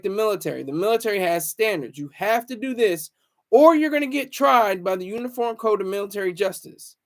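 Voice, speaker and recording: A man hosting a casual livestream, talking to viewers in a rambling way and repeating phrases for emphasis. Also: high voice. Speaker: livestream host.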